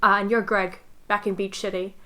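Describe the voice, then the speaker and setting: A woman co-hosting a podcast, casually pitching ideas in a playful, measured storytelling way. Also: level tone, sharp tone. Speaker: podcast co-host.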